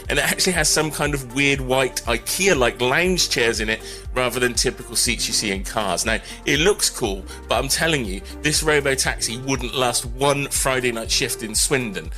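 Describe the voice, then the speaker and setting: A man's voice: medium pitch, bright, forceful tone. A man giving conversational technology news commentary in a wry, opinionated way. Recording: music bed under the voice. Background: background music.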